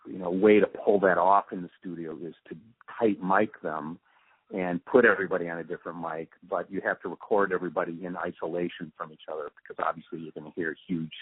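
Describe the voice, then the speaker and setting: A man being interviewed, giving reflective, halting answers as he thinks aloud through technical explanations. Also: deep voice, fairly even intonation, slightly rough, no room sound. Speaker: interviewee, radio drama post-production supervisor. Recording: muffled narrow phone-like line.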